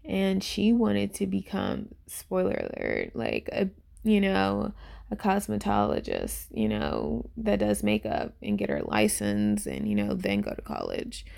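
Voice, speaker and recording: A woman in her twenties giving a casual, unscripted review in a rambling, informal way, with lots of fillers and heavy vocal fry. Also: mid-range voice, huge pitch swings, a little slow, darkish tone. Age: 20s. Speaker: YouTuber reviewing a webtoon. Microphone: voice-over on a phone screen recording.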